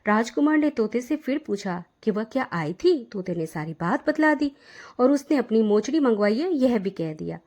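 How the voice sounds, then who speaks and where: A woman narrating devotional folk tales in an expressive storytelling delivery. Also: a little high, moderate pace.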